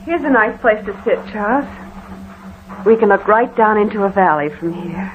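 A mild young woman, played by a radio actress speaks politely in 1940s drama style, always smoothing things over. The sound is narrow and tinny, with faint hiss. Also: mid-range voice, lively intonation, normal speed, close to an everyday voice. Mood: mild, concerned. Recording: tinny, hissy old radio recording.

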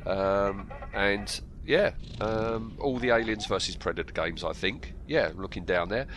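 A man's voice: lowish voice, a little slow, a bit bright, slight background noise. A man with a slightly congested voice from a cold, hosting a casual film podcast in a hesitant, rambling way and repeating himself. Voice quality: bunged-up nose from a cold. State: has a cold. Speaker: podcast host. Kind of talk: casual film discussion reading out actor trivia.

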